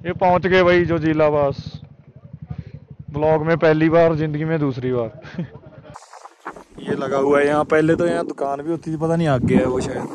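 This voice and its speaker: A man's voice: on the high side, steady tone, normal speed, pauses often. A young man giving a casual, joking running commentary to viewers while riding a motorbike on a mountain road. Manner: chatty, joking, addresses viewers as bhai. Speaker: motorcycle vlogger. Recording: muffled with loud road and wind noise.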